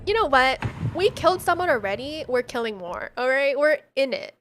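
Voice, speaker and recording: A woman's voice: high-pitched, animated, moderate pace, fairly bright tone. A woman livestreaming a game, reading its text aloud and reacting in casual slang. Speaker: streamer playing a horror visual novel.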